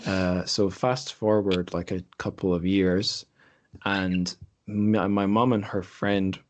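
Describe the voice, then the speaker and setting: A man's voice: low voice, little pitch movement, takes it slowly, a little hiss or hum. A man hosting a podcast, chatting casually and telling personal stories in a conversational way. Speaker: podcast host. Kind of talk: casual chat and personal storytelling.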